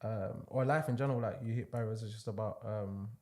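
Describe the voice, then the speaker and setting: A man's voice: lowish voice, a little fast. Young male podcast host, casual and loose, laughs easily, talks with plenty of fillers. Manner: relaxed, laughs often, lots of fillers. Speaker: podcast host and online fitness coach. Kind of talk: casual interview chat.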